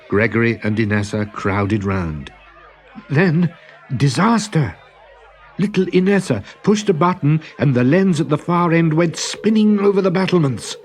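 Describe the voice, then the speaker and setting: A man narrating a children's story in a dramatic, deliberate way, with expressive swells and hushes. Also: medium pitch. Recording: music behind the voice.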